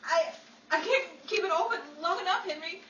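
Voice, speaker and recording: A woman playing Betty, a flustered, scatterbrained yet quick-witted woman, with theatrical exclamations in 1940s radio comedy style. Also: very high voice, bright, forceful tone. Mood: flustered, exasperated. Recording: echoey room, distant mic, faint noise.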